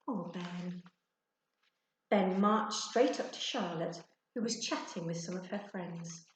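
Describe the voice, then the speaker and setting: Slow, expressive woman reading a storybook. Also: lowish voice, a little hiss or hum. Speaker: teacher reading to her class. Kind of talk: expressive children's storybook narration. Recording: echoey, roomy sound.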